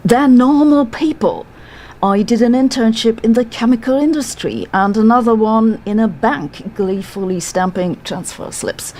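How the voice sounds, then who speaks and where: A middle-aged woman with a German accent narrates her own scripted story. Her delivery is dry and sardonic, and she states things flatly and matter-of-factly. Her voice sits at an ordinary middle pitch, with a faint hiss underneath. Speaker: physicist telling her story. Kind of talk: scripted first-person narration.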